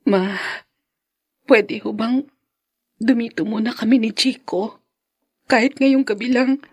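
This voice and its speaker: A woman's voice: fairly high pitch, slow, slightly rough, dry recording. An actress playing an anguished young wife and mother in a radio drama, tearful and pleading. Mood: subdued, hurt. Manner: tearful, pleading, emotionally overwrought. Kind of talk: Filipino radio drama acting.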